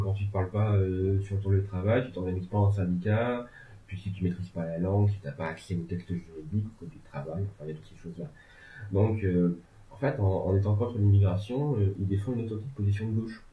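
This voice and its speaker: Guy with a lowish voice chatting politics, lots of euh and asides, sounds tinny like a phone. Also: reverberant. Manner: rambling, many hesitations, joking asides. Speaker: legal and political commentator. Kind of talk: casual discussion.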